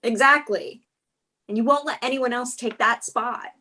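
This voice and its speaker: A woman's voice: fairly high pitch, normal speed, pushed and bright. A woman playing a candid, exasperated young girlfriend with a wry manner in a comedy script table read. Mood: frustrated.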